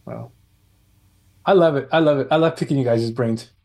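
A man's voice: mid-range voice, moderate pace, a little mellow. A man chatting casually in a rambling way, often repeating his phrases as he goes. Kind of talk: casual chat.

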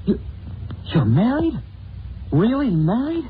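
A woman's voice: a little high, big pitch swings, slow pace. A woman playing an earnest, anxious teenage boy sidekick in melodramatic old radio superhero style. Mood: shocked, distressed. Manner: wide-eyed, hesitant, melodramatic. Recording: noisy, tinny old recording.

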